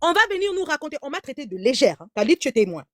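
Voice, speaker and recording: Emphatic high-voiced woman commentator. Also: big pitch swings, very fast, dry recording, studio quality. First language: German. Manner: emphatic, repeats words, stammers on restarts. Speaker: online commentator. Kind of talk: heated live commentary.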